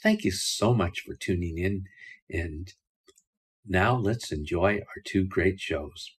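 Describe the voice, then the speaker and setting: A man hosting a radio show in a plain, conversational, unhurried way with a husky voice. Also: sits low.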